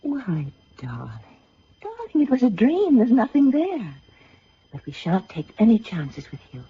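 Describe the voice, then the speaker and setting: A woman's voice: fairly high pitch, wildly swooping pitch, unhurried. An actress playing a genteel, mysterious young woman in a radio drama, affectionate and subtly possessive. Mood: tender, soothing. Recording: dull old radio broadcast, faint noise.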